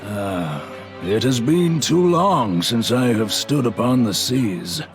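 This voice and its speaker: An actor playing a grave, dignified sage of the seas in a video game cutscene. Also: male voice, medium pitch, animated, slow pace. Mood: measured. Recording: music behind the voice.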